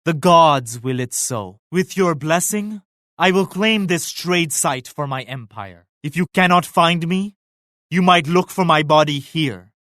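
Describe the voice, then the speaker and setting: Casting: a devout Mughal emperor for a strategy game, fairly high male voice, grave formal declamation, dry studio sound. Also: a bit bright, dry recording. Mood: devout, proud. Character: devout, solemn, regal Mughal emperor, grown man. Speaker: video game voice actor. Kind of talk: scripted game character lines.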